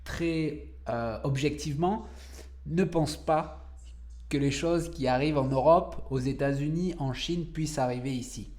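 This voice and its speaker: A man's voice: on the high side, unhurried, darkish tone. A young adult man from France, a cancer researcher speaking as a podcast guest, who slips into English words and searches for French ones. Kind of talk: podcast interview.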